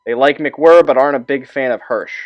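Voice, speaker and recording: A man explaining a tabletop roleplaying game as its game master, stressing key words and pausing between phrases. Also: medium pitch, dull audio, soft background music. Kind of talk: explanatory talk on tabletop game characters and rules.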